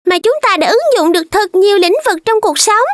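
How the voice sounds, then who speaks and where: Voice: very high-pitched, expressive, a little fast, no echo, clean studio sound. A performer voicing a sweet, curious, polite little child in a children's cartoon dub. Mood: curious, cheerful.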